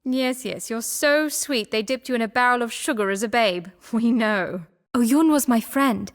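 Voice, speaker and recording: A woman's voice: on the high side, measured pace, lightly acted. An actress playing a shrewd, teasing female antiquities trader in a video game, speaking with wry self-assurance. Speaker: game character. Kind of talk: theatrical video game voice acting.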